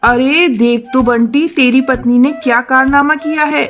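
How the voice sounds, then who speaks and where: A scandalized cartoon mother-in-law bird put on by a woman scolds in a fairly high voice. Her exclamations are indignant and drawn out, with faint music underneath. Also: fairly even intonation, a little slow, a bit bright. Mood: scandalized, scolding.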